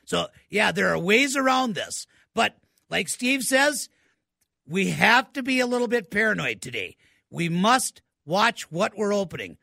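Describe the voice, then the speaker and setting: A man's voice: sits high, sing-song, unhurried, creaky, sharp tone, no room sound, studio quality. A man, co-hosting a radio call-in show and giving tech advice with enthusiasm, repeating words for emphasis. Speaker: tech expert co-host.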